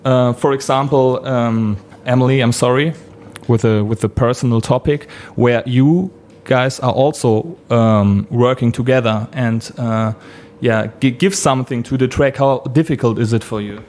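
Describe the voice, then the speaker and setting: A man asking interview questions in a halting, hesitant way. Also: medium pitch, darkish tone, a little hiss or hum. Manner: halting, hesitant phrasing in non-native English.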